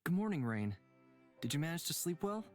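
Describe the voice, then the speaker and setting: A man voicing a courteous, composed and kindly traveler in a visual novel, polite and measured. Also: on the high side, very animated, soft background music. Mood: polite, sincere.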